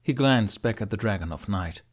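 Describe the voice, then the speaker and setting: Male narrator for fantasy fiction audiobook, low register, dramatic storytelling read. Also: lively intonation, normal speed, husky.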